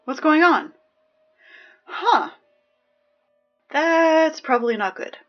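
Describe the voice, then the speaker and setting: High-voiced, flustered teenage announcer. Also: female voice, expressive, slow pace, lo-fi sound, faint music behind. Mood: puzzled. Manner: hesitant, pleading, conversational rambling. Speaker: morning announcements host. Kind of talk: audio drama, casual school PA announcements.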